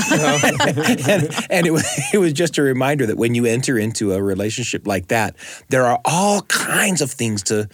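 A man's voice: mid-range voice, big pitch swings, moderate pace, fairly bright tone, a little hiss or hum. A man hosting a radio show, introducing stories and chatting with colleagues in a studio.

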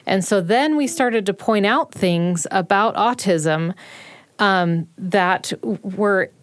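A woman speaks as an interviewed parent in a casual, unhurried way. The studio sound is dry and close. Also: medium pitch, dry recording.

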